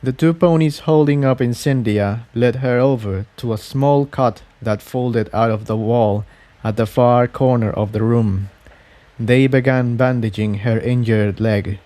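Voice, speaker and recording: A man narrates an adventure story from a script. His pace is very slow, and his pitch sits in a middle range for a man. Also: dark tone. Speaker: audiobook-style fan fiction reader.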